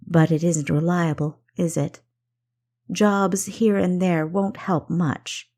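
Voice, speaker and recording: A woman's voice: lowish voice, steady tone, slow, a little mellow. A woman voicing a reserved, practical female city guard and elder sister in an audiobook, speaking matter-of-factly. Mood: hesitant, firm. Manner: matter-of-fact.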